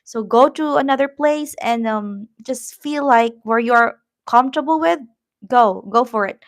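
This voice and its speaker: A woman with a Filipino accent hosts a casual live stream, speaking slowly with plenty of "um"s as she encourages her viewers. Her voice sits a little high for a woman. Her first language is Tagalog, and her delivery is unhurried and conversational. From Philippines. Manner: warm, encouraging, reads viewer comments, many "um" fillers. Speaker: YouTube livestream host.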